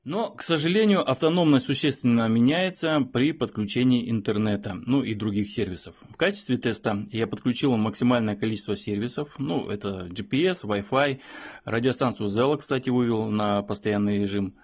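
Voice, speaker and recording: A man's voice: medium pitch, moderate pace, darkish tone. A man giving an informal product review and tutorial, explaining things in a matter-of-fact way. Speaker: phone reviewer.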